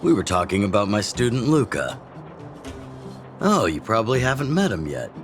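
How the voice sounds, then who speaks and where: Casting: jovial game chief, middle-aged, low voice, lively swings, faint music behind. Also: male voice, moderate pace. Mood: genial. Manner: jovial, teasing. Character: hearty older male underworld chief and fighting mentor. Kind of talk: video game voice acting.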